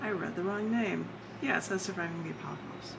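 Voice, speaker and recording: Over clear background noise, a woman speaks in a low-pitched voice as a poet presenting her own work. She moves between chatty introductions and live reading, with deliberate, dramatic pauses. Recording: clearly noisy recording.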